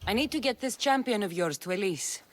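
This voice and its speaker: A woman's voice: medium pitch, expressive, a little fast, slight background noise, natural voice. An actress playing a dry-witted female warrior mercenary who talks in a dry, sardonic way in a video game cutscene.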